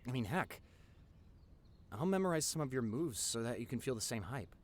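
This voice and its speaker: A man acting an excitable, lively-voiced nerdy boyfriend. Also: mid-range voice, moderate pace. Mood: affectionate, encouraging. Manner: stammering, excitable, affectionate, teasing. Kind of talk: boyfriend roleplay audio, intimate one-sided dialogue.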